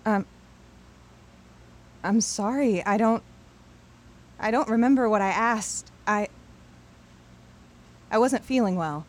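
A woman playing an anxious, confused, apologetic teenage girl in an audio drama, speaking haltingly. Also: a little high. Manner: halting, stammering.

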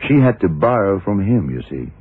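An actor playing a shrewd, worldly, commanding male detective who explains deliberately, in the style of vintage radio drama. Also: low-pitched, big pitch swings, husky, heavily acted. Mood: patient, knowing. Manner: deliberate, hard-boiled explaining. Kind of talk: 1960s-70s radio drama acting. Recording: muffled, narrow-band vintage radio recording.

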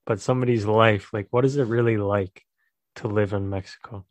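A man's voice: fairly low pitch, a little fast, no room sound. A young American man hosting a casual podcast over a remote call, asking questions in a loose way full of "like" and "I mean.". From United States. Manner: relaxed, filler-heavy questioning. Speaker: podcast host.

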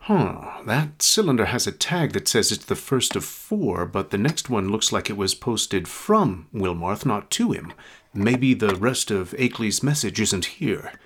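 A man's voice: fairly low pitch, big pitch swings, measured pace, noisy background. An actor playing a curious man methodically reviewing archived recordings, musing in a matter-of-fact way in a radio drama. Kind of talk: radio drama acting, conversational narration.

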